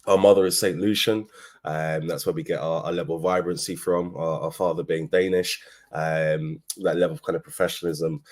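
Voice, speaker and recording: A man's voice: deep voice, a little mellow. A man with a London English accent giving an informal business presentation in a conversational, explanatory way. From London, England. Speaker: agency co-founder, speaker. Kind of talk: informal business presentation, telling own story.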